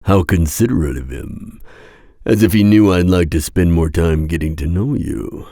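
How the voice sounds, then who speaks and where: A man playing a masked villain mercenary in an ASMR roleplay, speaking in a formal, deliberate, seductive and menacing way. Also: deep voice, dark tone, no room sound, studio quality. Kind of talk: ASMR roleplay audio drama.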